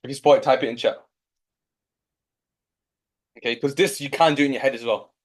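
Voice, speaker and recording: A man's voice: mid-range voice, brisk. Yorkshire guy tutoring maths online live, young, keeps cheering students on, regular mid voice. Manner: encouraging, coaxing students.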